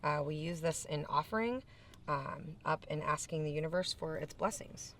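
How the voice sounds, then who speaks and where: A woman explaining her ceremonial items calmly, with frequent fillers. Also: deep voice, normal speed, slight background noise. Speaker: medicine woman. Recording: voice heard from a distance.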